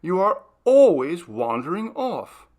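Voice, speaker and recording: A man's voice: sits high, big pitch swings, takes it slowly, darkish tone, pitched above the performer's own voice, full character voice. A man reading aloud, voicing a fretful young woman in a storybook who keeps calling her friend back. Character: young woman, Carla, anxious and fussing.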